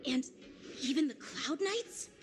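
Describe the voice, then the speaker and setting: An actress playing an earnest young girl adventurer, quick to alarm, in a video game, with expressive, exclamatory delivery. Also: high voice, a little hiss or hum. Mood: puzzled.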